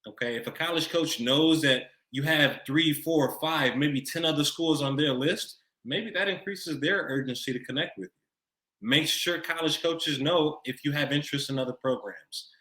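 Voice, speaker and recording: An American man with a bright, forceful voice in a roomy, echoing space. He coaches the listener like an expert advisor, stressing key points and repeating them for emphasis. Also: fairly high pitch, moderate pace. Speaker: college recruiting expert. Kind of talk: advice to camera for students.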